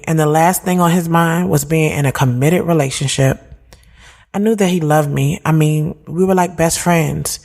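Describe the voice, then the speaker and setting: A woman's voice: fairly low pitch, darkish tone. A woman playing a sassy, candid thirty-year-old who tells her own story in slangy, conversational narration. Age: 30s. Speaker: narrator and lead of an audio drama series. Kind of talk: first-person storytelling narration, conversational urban drama.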